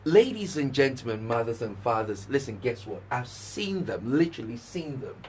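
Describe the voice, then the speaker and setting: A man's voice: medium pitch, normal speed. A man from Ghana with a Ghanaian accent hosting a radio interview, teasing his guest playfully and speaking to the listeners. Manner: animated, repeats "listen", laughs often, addresses the audience. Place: radio studio. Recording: distant mic with faint background noise.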